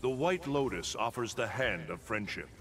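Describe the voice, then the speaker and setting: Gravelly, solemn thunder god. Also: male voice, mid-range voice, sing-song, normal speed. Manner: formal, stately. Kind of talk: video game fight intro dialogue. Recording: game audio under very loud noise.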